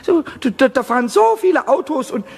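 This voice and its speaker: A man playing a small, mischievous, childlike kobold in a children's radio play, stammering and repeating words excitedly in a squeaky, scratchy voice. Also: a little fast, slightly rough, heavily acted. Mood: scheming, excited.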